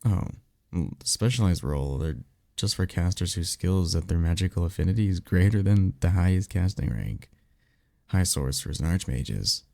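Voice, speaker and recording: A blunt, sarcastic male vampire mentor played by a man for an audio roleplay. The voice sits low with a crackly fry and moves around expressively. The delivery is casual and exasperated, with conversational hesitations. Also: lively intonation, moderate pace, darkish tone, no room sound. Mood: explanatory, skeptical. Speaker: voice actor. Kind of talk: ASMR roleplay audio drama, one-sided conversation.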